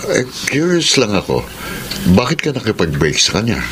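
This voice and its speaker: An actor with a Filipino accent playing a flirtatious, brazenly lustful former seminarian in a radio drama, sly, teasing and suggestive. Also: male voice, fairly low pitch, huge pitch swings, normal speed, fairly bright tone. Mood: curious, flirtatious. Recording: music playing behind the voice.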